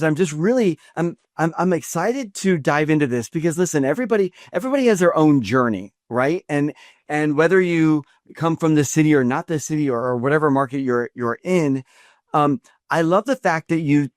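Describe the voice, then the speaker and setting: A man hosting a podcast interview in a studio, often halting and repeating words as he thinks. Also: a little high, no room sound. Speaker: podcast host. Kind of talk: interview with a scripted intro.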